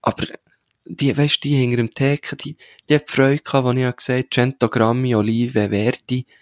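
A man's voice: medium pitch, level tone, normal speed, no echo. A man with a Swiss German accent in a casual podcast chat, talking colloquially and anecdotally with slang and frequent tag questions. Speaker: podcast co-host.

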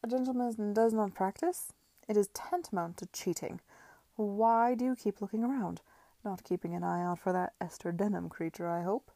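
Rakish drinking gentleman, played by a woman: blunt, disdainful, gravelly edge, period story. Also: mid-range voice, moderate pace, no room sound, lightly acted. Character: swaggering, hard-drinking, contemptuous young gentleman friend.

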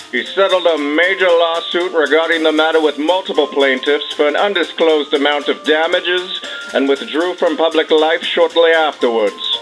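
Guy doing a serious news anchor, formal scripted read, music behind, voice a bit high. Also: lightly acted, rough recording. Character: serious male radio news reporter.

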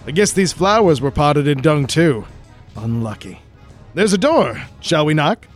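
A man's voice: a little high, sing-song, a little slow, faint music behind, pitched below the performer's own voice. Man as a jaunty 1940s radio adventurer. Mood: wry. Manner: old-time adventurer patter, calling others "pal" and "friend". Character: jaunty 1940s time-travelling male investigator who loves puns.

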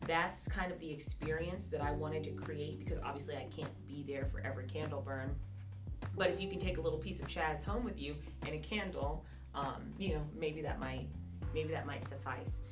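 A woman with a low-pitched voice talks in a chatty, upbeat way, a guest from Florida sharing her story. Her voice sounds roomy and echoey, with clear music playing underneath. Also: moderate pace. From Jacksonville, Florida, US. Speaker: guest, candle business owner. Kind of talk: casual conversational interview.

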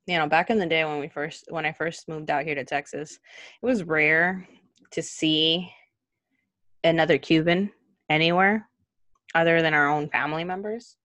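A young woman with a notably low-pitched voice chats casually as a podcast co-host, laughing easily and filling pauses with ums. The sound is dry and close, with no room around it. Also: steady tone, fairly bright tone, no echo. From Cuba. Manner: chatty, laughs often, trails off with ums.

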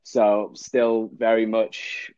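Young guy, scouse-mix, lowish voice, stops and restarts a lot, kinda muffled. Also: clean tone, no room sound. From England. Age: young adult. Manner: relaxed, halting, repeats words, dry jokes. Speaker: guest actor. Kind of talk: casual interview chat.

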